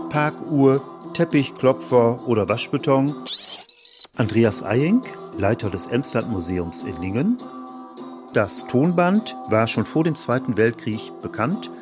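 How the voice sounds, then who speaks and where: A man explaining exhibits as a museum director, in a guided-tour style of talk. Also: medium pitch.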